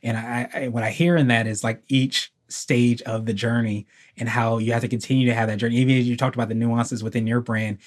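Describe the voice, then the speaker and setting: A man hosting an interview who keeps affirming his guest and restating the guest's points at length. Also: mid-range voice. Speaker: podcast host. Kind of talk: conversational interview.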